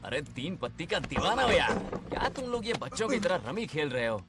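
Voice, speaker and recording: A man voicing a cocky, mocking young film hero in dubbed dialogue, with a teasing, swaggering manner over loud music. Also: a little high, big pitch swings, a little fast. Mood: cocky challenge.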